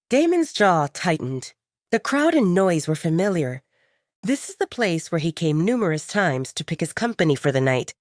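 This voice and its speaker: A woman reading a scripted story as an audiobook narrator, with an expressive, dramatic storytelling delivery. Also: lowish voice, no echo, studio quality.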